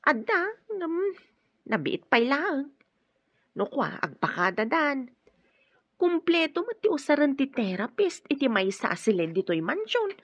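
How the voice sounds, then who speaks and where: An actress playing a chatty, respectful housemaid in a radio drama, deferential and confiding, with a Filipino accent. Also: female voice, high-pitched, very animated, a little slow, pauses often, dry recording, lo-fi sound. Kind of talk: Ilocano radio drama acting.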